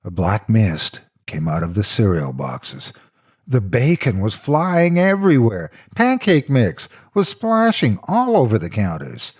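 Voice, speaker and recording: A man's voice: lowish voice, huge pitch swings, unhurried, a little mellow, husky. A man narrating a scripted story in a theatrical, mock-serious storytelling style. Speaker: narrator of horror stories.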